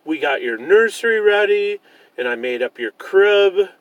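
A man voicing a loving, gentle mother bear who reassures her cub in a children's storybook. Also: high voice, lively intonation, measured pace, no echo, highs cut off, voice pushed up. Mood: animated.

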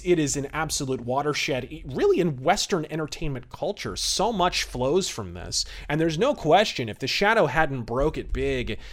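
A man hosting a podcast, giving casual, enthusiastic commentary in an emphatic, conversational way. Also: fairly high pitch. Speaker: podcast host.

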